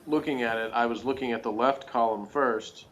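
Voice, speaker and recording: A man's voice: mid-range voice, fairly even intonation, normal speed, a bit bright, rough recording. A man chatting casually as co-host of a TV review, with a slangy, joking, teasing way of talking. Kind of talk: casual chat, TV episode commentary.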